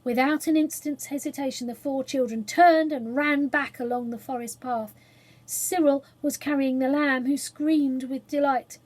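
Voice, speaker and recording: A woman reading a children's story aloud as an expressive storyteller. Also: high-pitched, normal speed.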